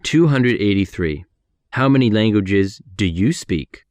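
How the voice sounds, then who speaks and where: American male reader for ESL drills, low voice, lively delivery, dry close mic. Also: dry recording. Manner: clear, measured reading. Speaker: English lesson reader. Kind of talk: numbered sentence reading for repetition practice.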